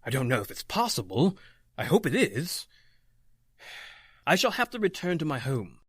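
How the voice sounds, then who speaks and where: A man playing a grave, authoritative occult doctor in an old-time radio horror drama, speaking emphatically and deliberately. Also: a little high, very animated, fairly bright tone, slightly rough, dry recording, studio quality, full character voice. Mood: grave, urgent. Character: grave, authoritative male doctor battling the supernatural.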